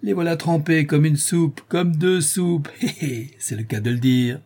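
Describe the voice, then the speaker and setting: A man's voice: fairly high pitch, moderate pace, darkish tone, slightly rough, voice pushed up. A man putting on a feisty old concierge woman. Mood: triumphant, gloating. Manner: indignant, mock-heroic, colloquial. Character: feisty old female concierge, comic and scolding.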